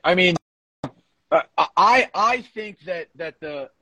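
A man's voice: high voice, lively intonation, slow, sharp tone. A man co-hosting a casual talk podcast, blunt and insistent, often repeating phrases for emphasis. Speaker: co-host, powerlifting coach. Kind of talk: casual podcast banter and opinion.

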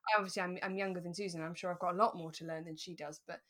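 A young British woman co-hosting a podcast in relaxed, reflective, conversational chat. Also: fairly low pitch, racing. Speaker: podcast co-host. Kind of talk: relaxed chat reviewing a radio drama.

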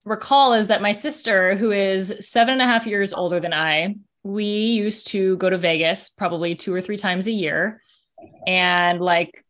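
A woman's voice: mid-range voice, fairly even intonation, moderate pace, fairly bright tone. A woman joining a casual chat over a video call, telling an upbeat story. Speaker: guest.

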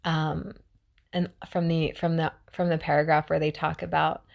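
A young adult woman hosting a mindfulness podcast, talking in a chatty, reflective way with many restarts and now and then dropping to a soft whisper. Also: low voice, level tone, normal speed, vocal fry. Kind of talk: casual reflective monologue.

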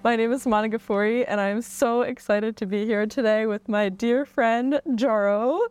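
A woman's voice: a little high, steady tone, normal speed, a little mellow, smooth, no room sound. A woman hosting a podcast interview in an upbeat, effusive way. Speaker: podcast host.

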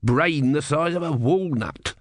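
A man voicing a small, grumpy tortoise god in a resigned, deadpan, vengeful mutter for a storybook. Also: a little high, unhurried, a little hiss or hum, pitched above the performer's own voice. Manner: dull, deadpan, muttering grumbles and threats.

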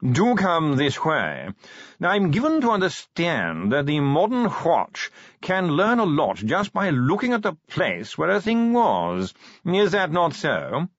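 Fussy upper-class curator voiced by a man, raised pitch, slow plaintive drawl, husky tone. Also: wildly swooping pitch, no room sound, full character voice. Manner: drawling, like modulated yawning. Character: posh, fussy male art curator. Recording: dull lo-fi recording.

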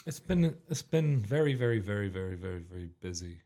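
A man's voice: fairly low pitch, very animated, a little fast, warm tone. A young man co-hosting a student radio show, chatting casually and rambling, correcting himself and joking. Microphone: studio microphone.

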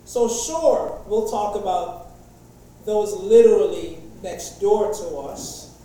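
A man's voice: high-pitched, takes it slowly, mellow, a little hiss or hum. A man preaching a sermon to a congregation in a casual, chatty way, with many fillers and restarts. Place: large echoey church hall. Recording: echoey church hall from far off.

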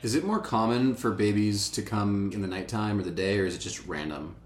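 A man in his twenties co-hosting a podcast, relaxed and playful, laughing often. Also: lowish voice, fairly quick. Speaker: podcast co-host. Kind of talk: casual chat. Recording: echoey room with faint hiss.

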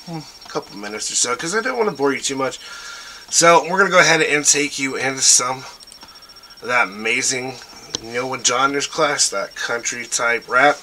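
A man's voice: medium pitch, animated, moderate pace, sharp tone, a little hiss or hum, rough recording. A man hosting a casual livestream show, rambling as he urges listeners to check out pages and artists. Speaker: show host. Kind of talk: casual livestream shout-outs.

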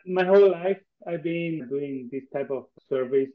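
A man's voice: on the high side, unhurried. A man from Argentina with an Argentine accent speaks English as a second language, conversationally and earnestly as a podcast guest, often repeating phrases for emphasis. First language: Spanish. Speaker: interview guest, tech company co-founder. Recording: thin phone-like online call audio.

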